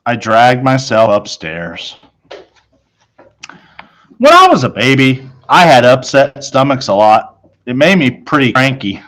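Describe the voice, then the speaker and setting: A man narrating a children's novel in the first person as a schoolboy, in a measured storytelling manner. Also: medium pitch, sing-song, a bit bright. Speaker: storybook reader.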